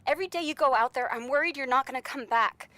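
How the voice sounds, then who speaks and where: Woman acting a worried young sweetheart, fairly high voice, pleading, tender, period radio drama. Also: fast, pushed and bright, no echo. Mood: upset, pleading. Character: anxious, loving young pregnant girlfriend.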